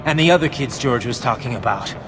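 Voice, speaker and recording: An actor playing a grim, determined cyborg soldier hero in a video game, terse and intense. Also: male voice, lively intonation, fast talker, close to an everyday voice. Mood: urgent. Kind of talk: video game cutscene acting. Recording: clear background noise, vehicle-like rumble.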